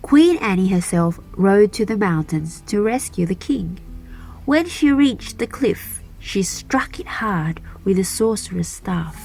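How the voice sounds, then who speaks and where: A woman narrating a children's fairy tale aloud as a storyteller, in an expressive, deliberate manner. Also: medium pitch, gravelly, faint music behind, low-quality recording. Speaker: storyteller and channel host.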